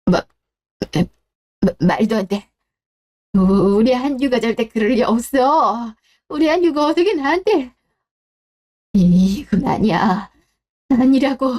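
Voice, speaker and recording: A woman's voice: fairly high pitch, darkish tone, close to an everyday voice. A woman voicing an elderly mother who stammers in shaken disbelief, in a radio drama style. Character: elderly mother, stunned, refusing to believe.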